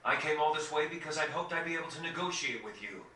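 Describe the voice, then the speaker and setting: A man's voice: a little high, expressive, quick pace, bright, forceful tone. A man playing a selfless young prince in a video game, speaking formally and sincerely. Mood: solemn, sincere. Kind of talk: dramatic video game cutscene acting. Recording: echoey, distant, faint noise.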